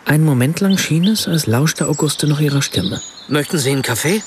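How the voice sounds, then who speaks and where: Actor as a reflective writer, birdsong behind. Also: male voice, fairly high pitch, lively intonation, fairly quick, background noise, close to an everyday voice. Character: curious, sceptical writer narrating and questioning a storyteller. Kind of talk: literary first-person narration in radio play.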